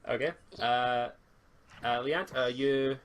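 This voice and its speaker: A man improvising casual narration as game master of a tabletop roleplay, in a halting way. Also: medium pitch, steady tone, clear, resonant tone.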